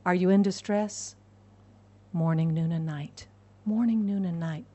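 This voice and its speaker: A woman's voice: lowish voice, a little slow, mellow. Emphatic woman preaching a sermon. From United States. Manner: emphatic, repeats key phrases. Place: church in Hong Kong.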